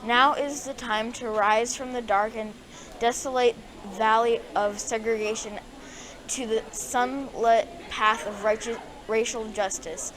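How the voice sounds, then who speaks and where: A woman's voice: on the high side, fairly even intonation, unhurried, a bit bright, rough recording. A female student reading a formal speech aloud. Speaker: student reader.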